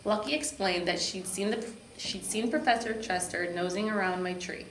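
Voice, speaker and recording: A woman reading a children's storybook aloud with expressive narration. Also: mid-range voice, normal speed. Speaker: park district program supervisor reading to children. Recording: echoey room with background noise.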